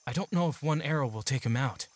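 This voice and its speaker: An actor voicing a serious, curt young mercenary in a fantasy audio drama. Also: male voice, medium pitch, fast talker, close to an everyday voice. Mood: focused, hushed. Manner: curt, earnest, tactical. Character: serious, gruff-mannered young male mercenary in training. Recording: faint music behind the voice.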